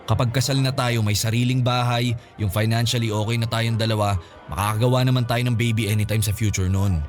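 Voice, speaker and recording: An actor playing an evasive, selfish young boyfriend in a Filipino radio drama, defensive and insistent. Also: male voice, mid-range voice, steady tone, a little fast, natural voice. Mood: defensive, coaxing. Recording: music bed behind the voice.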